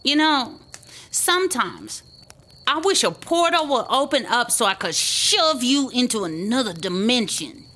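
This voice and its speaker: Woman doing snarky stubborn sidekick, bright sharp voice, takes its time, comedy audio drama. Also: a little high, sing-song, unhurried, slight background noise, full character voice. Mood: irritated.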